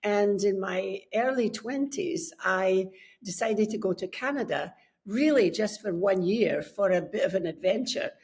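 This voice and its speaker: An older woman with a Scottish accent, a book coach and podcast guest, telling her story in a measured, friendly way on a remote call. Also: mid-range voice, gravelly, a little mellow. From Scotland. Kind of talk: casual interview chat. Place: home, on a remote call from abroad.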